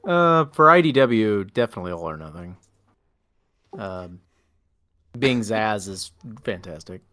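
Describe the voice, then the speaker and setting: A man's voice: fairly low pitch, lively intonation, measured pace, pushed and bright. A man speaking casually as a voice actor on an online panel. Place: online panel over voice call.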